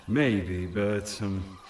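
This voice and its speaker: A man's voice: on the low side, far from the mic, heavily acted. A man playing an affable partisan in a war video game, with a hesitant, amiable, drawling delivery. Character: affable, halting male Italian partisan fighter. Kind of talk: video game cutscene dialogue.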